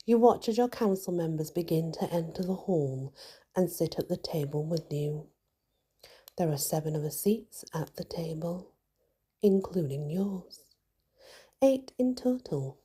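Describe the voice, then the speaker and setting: A woman softly whispering a scripted guided meditation in a hushed, soothing ASMR style, with many pauses. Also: low-pitched, lively intonation. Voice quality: soft, breathy, mostly whispered.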